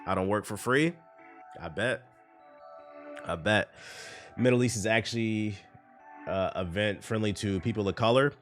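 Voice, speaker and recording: A man's voice: lowish voice, unhurried, pauses often, soft background music. A man with a stuffy, congested voice, reading news aloud and chatting casually on a live stream. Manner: casual, reads news aloud then riffs on chat. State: congested from a cold.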